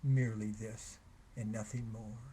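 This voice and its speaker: A man giving a dramatic, theatrical recitation of poetry in a brooding manner, swinging between hushed phrases and louder ones. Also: fairly low pitch, flat delivery, a little slow, slight background noise. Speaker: poetry reader.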